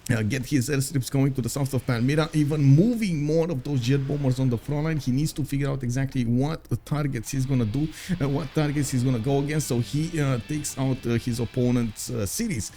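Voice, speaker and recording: A man casting a competitive strategy game match live, chatty and quick to laugh, greeting viewers as he talks. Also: medium pitch, mellow, faint music behind.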